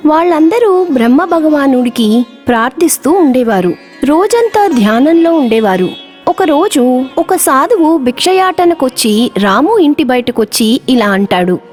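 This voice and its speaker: High, lively female storyteller over music. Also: measured pace. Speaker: narrator. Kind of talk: scripted children's story narration.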